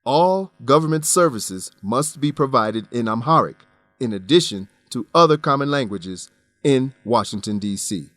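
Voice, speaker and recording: A man presenting an English lesson in scripted narration, with a measured, didactic delivery. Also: mid-range voice, animated, fairly bright tone, no room sound.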